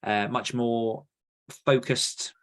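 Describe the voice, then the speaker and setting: A man's voice: medium pitch, steady tone, drawn-out words, clean tone. A man co-hosting a podcast in a casual, informal chat style, thinking through plans as they talk. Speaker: podcast co-host.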